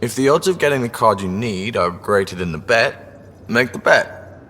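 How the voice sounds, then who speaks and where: A man's voice: lowish voice, expressive, moderate pace, fairly bright tone, in a large hall, a little hiss or hum. An actor playing a wisecracking, easygoing male crewman in a video game, with colloquial, wry banter. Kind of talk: video game dialogue acting.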